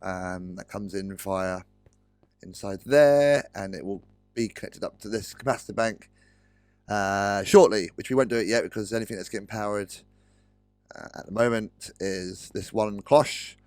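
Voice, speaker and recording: A British man with a slightly croaky voice, rambling casually through gameplay commentary and correcting himself as he goes. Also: on the low side, normal speed, darkish tone, dry recording. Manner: rambling, self-correcting, chatty. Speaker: Let's Play host. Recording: dry close-mic recording with faint music.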